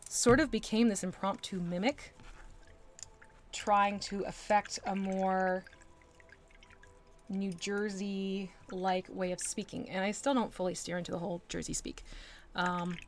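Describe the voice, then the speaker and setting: A woman's voice: medium pitch, slight background noise. A woman from Texas chatting casually on a livestream as she paints miniatures, rambling with asides and little laughs. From Texas, USA. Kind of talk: casual chat while working.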